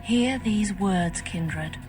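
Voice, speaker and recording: An actress playing a composed, scheming female vampire faction leader in a video game, speaking formally. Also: mid-range voice. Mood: cool warning. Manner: formal, measured, stately. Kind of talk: video game dialogue acting. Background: music.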